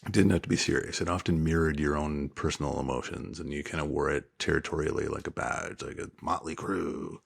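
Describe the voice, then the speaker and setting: A middle-aged man co-hosting a casual music podcast, explaining his views in a measured, reflective way. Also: very deep voice, fairly even intonation, vocal fry, slightly rough. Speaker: podcast co-host. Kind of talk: casual chat.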